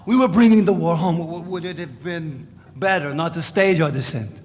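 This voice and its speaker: A man's voice: fairly high pitch, normal speed, natural voice. A man in a live dramatic reading playing a laconic radical tough guy, offhand, dry and defiant. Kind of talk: dramatic reading of a novel before an audience. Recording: reverberant hall, noisy live recording.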